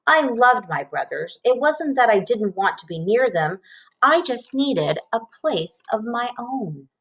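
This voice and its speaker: A woman reading a children's storybook aloud in an expressive narrating style. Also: a little high, unhurried, rough recording. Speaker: storybook reader.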